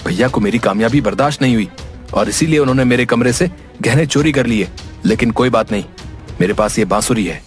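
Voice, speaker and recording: A man voicing a proud, indignant younger brother in an animated moral story. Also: mid-range voice, brisk, faint music behind, close to an everyday voice. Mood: indignant, accusing. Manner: accusing, indignant. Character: proud, defiant younger brother with magic flute.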